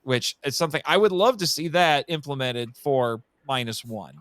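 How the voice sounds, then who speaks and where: Emphatic man with a clear, dry sound. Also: medium pitch, animated, moderate pace, fairly bright tone, dry recording. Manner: enthusiastic, emphatic, repeats phrases for emphasis. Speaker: podcast guest and monster movie expert. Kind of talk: casual film discussion.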